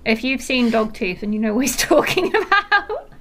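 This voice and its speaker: A woman's voice: on the high side, very animated, normal speed, fairly bright tone, slight background noise. A young woman with a faint Mancunian accent, co-hosting a casual film podcast and rambling with lots of fillers. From Manchester, England. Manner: chatty, rambling, with frequent fillers and laughs. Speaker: podcast co-host.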